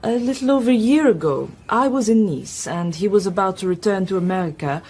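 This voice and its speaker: A woman's voice: mid-range voice, lively intonation, fairly quick, darkish tone, breathy edge. A woman playing a polite, reserved young married woman in an audio drama, speaking formally and with composure. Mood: composed.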